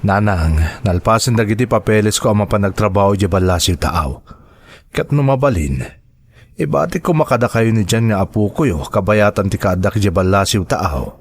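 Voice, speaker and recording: Gentle, low-voiced father. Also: normal speed, no echo. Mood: earnest, hesitant. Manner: gentle, earnest, coaxing. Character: devoted adult father and dutiful son, gentle, earnest. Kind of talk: radio drama acting.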